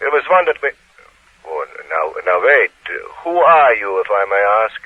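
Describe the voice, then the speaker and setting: An actor playing a hesitant, flustered gun shop clerk in a 1950s radio drama, halting and wary. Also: male voice, on the low side, very animated, dry recording, narrow, tinny audio. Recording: thin old radio recording.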